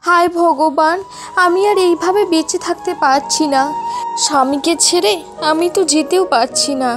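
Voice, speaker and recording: A woman voicing a meek, kind, sorrowful elder wife in a cartoon folk tale, with a gentle, plaintive manner. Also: extremely high pitch, fairly even intonation, moderate pace. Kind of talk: animated folk tale dialogue. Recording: voice over prominent background music.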